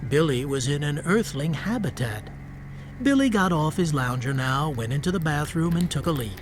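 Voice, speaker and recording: A man narrating a radio drama as a storyteller, in a terse, matter-of-fact way over soft music. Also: fairly high pitch, natural voice. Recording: faint music behind narration.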